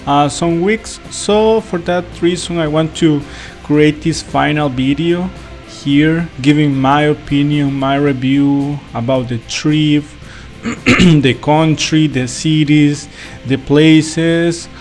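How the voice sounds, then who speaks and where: A man's voice: fairly high pitch, fairly even intonation, slow pace, darkish tone, faint music behind. A man from El Salvador, speaking English as a second language, giving a casual travel review with many fillers, restarts and apologies. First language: Spanish. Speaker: travel vlogger.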